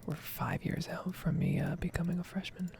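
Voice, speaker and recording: Casting: American woman, 20s, heavily fry-laden and often whispered voice, rambling ASMR gaming talk, casual swearing, close mic. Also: on the low side, steady tone, moderate pace. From United States. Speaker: gaming video creator.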